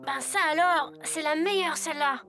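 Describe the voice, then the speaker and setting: A woman's voice: sits high, moderate pace, music in the background, natural voice. A woman voicing a cheerful, wry schoolboy hero in a French children's cartoon, casual and boyish. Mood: puzzled, upbeat.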